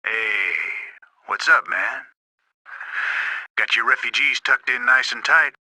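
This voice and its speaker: An actor playing a folksy, blunt, cocksure frontier marshal with a Southern US accent in a video game. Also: male voice, mid-range voice, slow, pushed and bright, no room sound, full character voice. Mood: easygoing. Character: folksy, gruff, defiant frontier marshal, a man. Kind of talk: scripted game dialogue. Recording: clearly noisy game audio with vehicle sounds.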